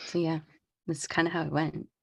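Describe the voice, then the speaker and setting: An eighteen-year-old woman with a low voice talks in a dry, teasing way on a casual podcast. The sound is close and dry. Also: little pitch movement, moderate pace, creaky, darkish tone, no echo. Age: young adult. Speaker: co-host. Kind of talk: casual storytelling.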